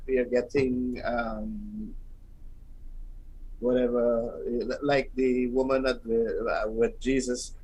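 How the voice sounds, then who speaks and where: A man with a Guyanese accent in a radio discussion, talking in a halting, measured way. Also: mid-range voice, level tone, warm tone, a little hiss or hum, low-quality recording.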